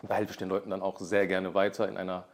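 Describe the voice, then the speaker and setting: Low-voiced German man in his thirties. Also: quick pace, a little hiss or hum. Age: 30s. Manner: relaxed, many fillers and restarts. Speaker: podcast guest, real estate agent. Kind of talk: casual podcast interview.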